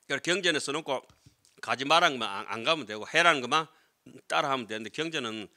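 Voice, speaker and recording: A man's voice: mid-range voice, fast talker, bright, forceful tone. A middle-aged man, a Buddhist monk giving a scripture lecture in a conversational way, often checking with listeners through short tag questions. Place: lecture room with seated audience, indoors. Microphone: desk gooseneck microphones.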